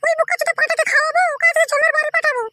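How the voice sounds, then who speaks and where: Woman as fierce squeaky cartoon wife, extremely high. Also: steady tone, rapid-fire, pushed and bright, slight background noise. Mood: angry. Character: foul-mouthed, fierce young wife, squeaky and threatening. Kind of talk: comic cartoon dialogue.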